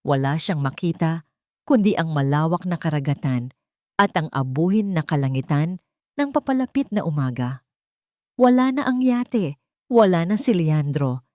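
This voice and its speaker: A woman narrates a scripted dramatic story in deliberate, suspenseful phrases. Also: sits low, expressive, a little mellow, dry recording, studio quality.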